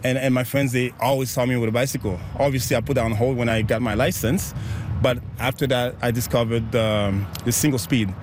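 An upbeat man from Haiti chats about his group bike rides in an easy, mid-pitched voice. He speaks in quick, cheerful bursts and laughs often. Faint traffic hums behind him outdoors in the city. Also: steady tone. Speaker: bike ride organizer. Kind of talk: casual interview.

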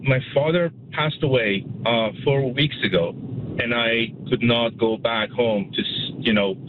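A man calling in to a radio show, speaking in a halting, personal way. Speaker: caller. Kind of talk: phone-in call. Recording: phone line with rumbling vehicle noise.

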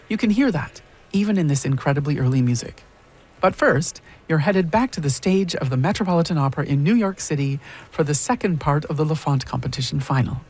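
A man's voice: fairly high pitch, a little mellow, breathy edge. A man hosting a radio program, introducing what's coming up in a friendly, conversational read. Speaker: radio host. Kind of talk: scripted radio announcing.

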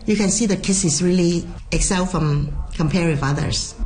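A woman's voice: fairly low pitch, moderate pace. A woman, a native Cantonese speaker, answering interview questions as a kindergarten language teacher.

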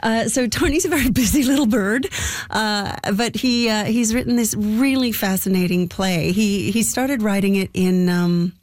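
A woman's voice: mid-range voice, normal speed, darkish tone, no room sound. A woman getting over a cold, sounding nasal, chatting as a guest in a radio studio with many fillers and laughs. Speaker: theatre company guest. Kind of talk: casual radio interview.

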